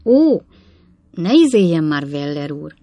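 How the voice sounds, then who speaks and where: A woman's voice: sits low, big pitch swings, dark tone, no echo, full character voice. A woman narrator puts on a pretty young storybook maid. She is coquettish and exclaims in mock indignation, teasing as she scolds. The sound is dry, with little room around it. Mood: coy protest. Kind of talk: audiobook character dialogue.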